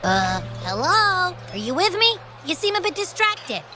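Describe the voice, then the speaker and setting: A woman's voice: very high-pitched, big pitch swings, unhurried, pushed and bright, exaggerated voice. A woman voicing a small, boastful, childlike female companion creature in an anime game, cutesy and playful, always greedy. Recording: game music behind the voice.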